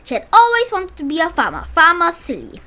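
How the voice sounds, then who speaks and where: A woman's voice: very high-pitched, huge pitch swings, fairly quick, bright, forceful tone. A woman reading a children's storybook aloud with playful, emphatic expression. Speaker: storybook reader.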